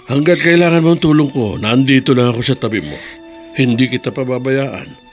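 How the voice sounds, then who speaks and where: A man's voice: mid-range voice, brisk, faint music behind, close to an everyday voice. An actor playing a protective widowed father in a Filipino radio drama, tender, earnest and fretful, with a Filipino accent.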